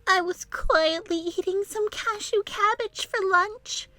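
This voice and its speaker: A woman's voice: very high voice, slow, pushed and bright, dry recording, pitched above the performer's own voice, heavily acted. A woman voicing an attention-seeking, melodramatic female ostrich in a children's storybook, whiny and overdramatic. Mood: tearful, whiny.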